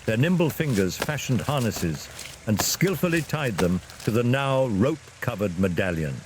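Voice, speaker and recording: A man's voice: mid-range voice, slight background noise, low-quality recording. A man narrating a scripted fantasy story in a dramatic storytelling style.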